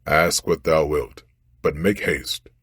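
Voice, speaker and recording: A man's voice: rumbling low, fairly even intonation, takes it slowly, a bit bright, husky, no room sound, clean studio sound, heavily acted. A man voicing a stern, menacing storybook genie who makes commanding pronouncements in archaic speech. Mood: commanding, solemn. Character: stern, ungrateful, menacing genie freed from long imprisonment. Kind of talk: storybook character voice.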